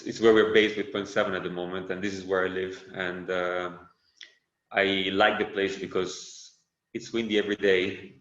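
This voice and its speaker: Low, flat-voiced Italian man. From Italy. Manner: relaxed, unhurried, lots of uh fillers and self-corrections. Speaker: guest, windsurf brand founder. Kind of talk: casual podcast interview. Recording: roomy, slightly dull sound.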